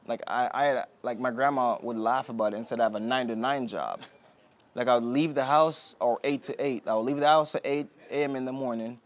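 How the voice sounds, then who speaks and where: A young man from Jamaica talking as a podcast guest, relaxed and rambling with many fillers and restarts. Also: mid-range voice. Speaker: guest, Olympic sprinter. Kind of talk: casual interview talk. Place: indoor podcast setting.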